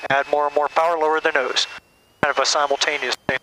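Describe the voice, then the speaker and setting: A man coaching a student pilot through landings, firing off short encouraging commands over the intercom. His voice sits a bit high and cuts through sharply. A faint engine drone hums underneath. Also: level tone, pushed and bright, slight background noise, low-quality recording. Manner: brisk repeated commands, encouraging. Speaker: flight instructor. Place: small plane cockpit.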